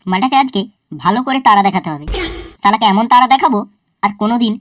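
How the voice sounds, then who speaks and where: A woman voicing a cheeky, foul-mouthed young schoolboy in a cartoon, talking in a quick, sassy and crude way. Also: medium pitch, natural voice. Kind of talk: cartoon voice acting.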